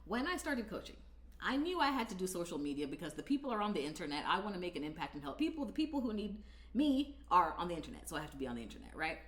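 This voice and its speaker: A woman in her thirties with a deep voice, talking candidly and conversationally as a coach in a video. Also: very fast, roomy sound. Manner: candid, conversational, animated, punctuated with laughs. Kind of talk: direct-to-camera talk video.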